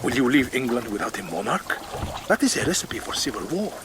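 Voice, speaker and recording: An actor playing a courtly Spanish ambassador with a Spanish accent, insinuating and deliberate, in a period radio drama. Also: male voice, medium pitch, big pitch swings, lightly acted. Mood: pressing. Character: smooth, persuasive Spanish duke and ambassador. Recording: noisy water ambience behind.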